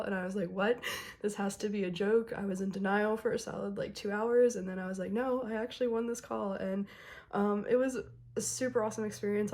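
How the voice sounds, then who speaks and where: Hoarse young woman chatting excitedly. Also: mid-range voice. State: hoarse, recovering from illness. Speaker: K-pop album unboxing vlogger. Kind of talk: casual chat to camera.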